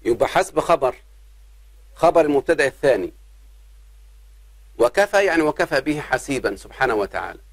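A man, teaching an Arabic grammar lesson. He talks patiently, addresses his students as his children and slows down on examples. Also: mid-range voice, big pitch swings, dry recording. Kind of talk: grammar lesson explaining verse.

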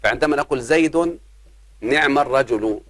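A man, teaching an Arabic grammar lesson. He talks patiently, addresses his students as his children and slows down on examples. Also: medium pitch, fairly bright tone, dry recording. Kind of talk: grammar lesson explaining verse.

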